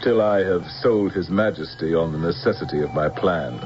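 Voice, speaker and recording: An actor playing a suave, soulless, threatening general scheming for power in a radio drama, speaking with silky formality. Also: male voice, low-pitched, normal speed, natural voice. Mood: smug, probing. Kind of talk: 1970s radio drama acting. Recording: muffled vintage radio recording with music.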